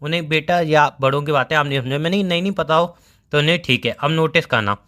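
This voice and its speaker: A man's voice: a little high, fast, a bit bright, natural voice. A young man playing a bantering, evasive boyfriend who explains himself casually in a phone-call skit. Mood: explaining, storytelling. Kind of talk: casual scripted couple phone call in Hindi.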